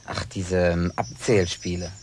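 An actor playing a brash, touchy young country-bred working man in a German radio play, talking in a colloquial, blunt, excitable way. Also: low voice, measured pace, a little hiss or hum. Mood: chatty.

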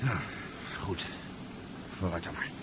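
An actor playing a calm, decisive, impatient leader on a covert mission in a 1970s Dutch radio play, curt and commanding. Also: male voice, low-pitched, slow pace. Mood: calm, cautious. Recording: dull, noisy 1970s radio drama recording.

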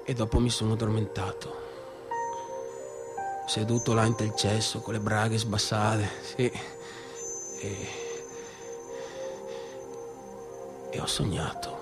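Male actor doing disturbed young small-town guy, northeast italian accent, radio play monologue, stammery and repeating himself, manic and brooding, music really loud behind. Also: lowish voice, level tone, close to an everyday voice. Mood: dazed recounting. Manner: halting, obsessively repetitive, colloquial. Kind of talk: theatrical monologue for radio theatre.

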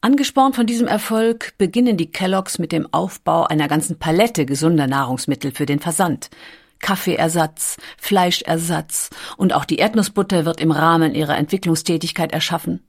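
A woman reading scripted documentary narration with measured, carefully articulated storytelling. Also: fairly low pitch, husky.

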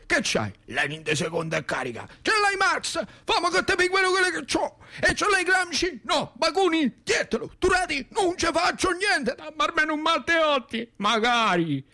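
A man's voice: extremely high pitch, very animated, normal speed, few pauses, sharp tone, voice pushed up, heavily acted. A man playing rowdy socialist tavern regulars at cards, trading short questions in central Italian dialect for radio theatre. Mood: bantering.

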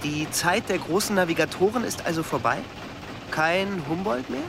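An actor in a German radio drama playing a curious, direct gentleman traveller with a questioning, pointed manner. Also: high-pitched, animated, moderate pace. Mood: curious, dry. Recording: clear outdoor ambience noise.

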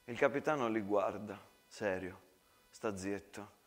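Hushed, measured male storyteller. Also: fairly low pitch. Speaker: actor and storyteller. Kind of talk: dramatic scripted narration.

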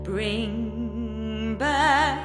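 An actress playing a mournful woman who sings a slow sea lament in a radio play. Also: on the high side, music in the background. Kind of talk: slow folk song singing. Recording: echoing long reverb.

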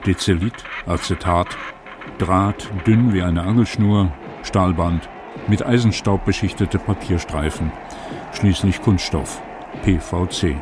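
A man narrating a radio documentary in measured, clipped fragmentary phrases. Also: low-pitched, over music. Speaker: radio feature author and narrator. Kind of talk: scripted documentary narration with location conversation.

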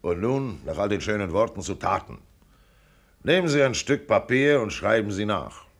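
Radio play: self-assured older millionaire, gravelly and blunt, clipped commands, swinging intonation. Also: male voice, fairly low pitch, lively intonation, moderate pace. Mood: commanding. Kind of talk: radio drama acting.

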